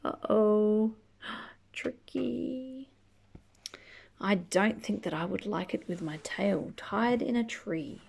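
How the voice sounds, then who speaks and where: Woman, children's storybook reader, unhurried pace, slightly creaky voice, drawn-out words, animated delivery. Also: mid-range voice. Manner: animated, drawn-out key words, gentle questioning asides. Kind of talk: expressive read-aloud of a rhyming children's book, with asides to children.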